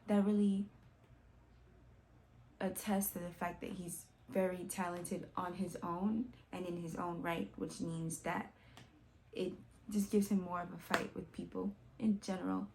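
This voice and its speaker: A woman with a mellow, relaxed tone and plenty of fry in her voice chats informally, as a host does to regular viewers. Her delivery is casual and folksy, with y'all sprinkled through it. A faint noise sits behind her. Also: fairly low pitch, a little slow, low-quality recording. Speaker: host of music reaction videos. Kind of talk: casual chatty commentary.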